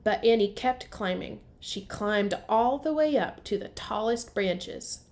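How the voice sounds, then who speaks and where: A woman's voice: mid-range voice, animated, unhurried, a little mellow. A woman, a primary school teacher, reading a storybook aloud in simple phrasing for young children. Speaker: first grade teacher.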